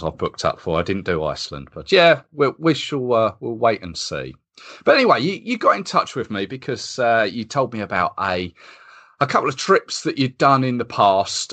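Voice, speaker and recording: Male podcast host, animated intonation, easy conversational manner. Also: medium pitch. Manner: friendly, conversational questioning. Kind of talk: podcast interview.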